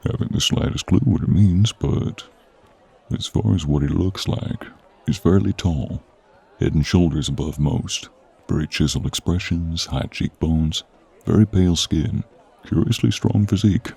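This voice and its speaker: A man playing a gruff, wry, honourable monster hunter in an audio roleplay, with a gravelly, husky voice and informal manner. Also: sits low, moderate pace, mellow, exaggerated voice. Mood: matter-of-fact. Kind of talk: audio roleplay acting, speaking to the listener.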